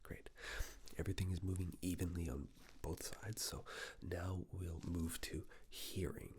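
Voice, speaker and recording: A man speaking in a hushed ASMR medical roleplay style, giving gentle step-by-step instructions as a clinician. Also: sits low, steady tone, measured pace, slight background noise. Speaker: ASMR performer playing a clinician giving a cranial nerve exam. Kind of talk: ASMR medical roleplay, soft near-whisper.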